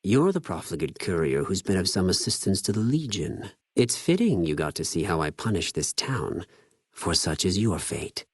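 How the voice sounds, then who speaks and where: An actor playing a cold, zealous, contemptuous legion spy in a video game, speaking formally with measured condescension. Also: male voice, low-pitched, very animated, husky, dry recording, studio quality, exaggerated voice. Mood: mocking menace. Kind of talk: scripted video game character dialogue.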